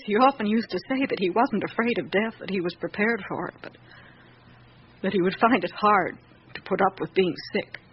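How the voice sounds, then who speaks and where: Muffled vintage radio play: actress as elderly housekeeper, troubled and loyal, measured, plaintive delivery, light hiss. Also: female voice, mid-range voice, close to an everyday voice. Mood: sorrowful. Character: loyal elderly female servant of a count, troubled. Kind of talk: radio drama acting. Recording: muffled, noisy old radio recording.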